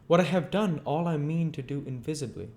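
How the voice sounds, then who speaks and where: A man's voice: fairly high pitch, fairly quick, a little mellow, pitched above the performer's own voice, close to an everyday voice. An arrogant and bitter fugitive scientist from a storybook, acted out by a man reading aloud. He declaims with indignant emphasis in a voice pushed upward, always volatile and sure of his own genius. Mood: eager, boastful.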